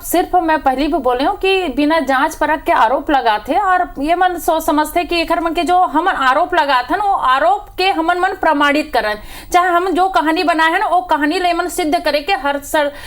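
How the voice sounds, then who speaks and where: A woman's voice: very high-pitched, moderate pace, few pauses. A woman with a Chhattisgarhi accent arguing insistently as a party spokeswoman in a political TV debate. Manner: argumentative, insistent.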